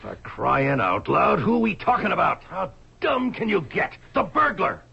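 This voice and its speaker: An actor playing an irritable, condescending wealthy husband in an old-time radio drama, with a gruff, scornful delivery and a gravelly voice. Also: male voice, high-pitched, huge pitch swings, moderate pace, exaggerated voice. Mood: exasperated. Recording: dull lo-fi radio broadcast recording.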